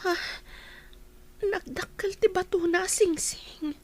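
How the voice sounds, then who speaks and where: A woman acting a gentle young sweetheart in an Ilocano radio drama. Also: very high voice, fairly even intonation, slow pace. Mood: shyly accepting.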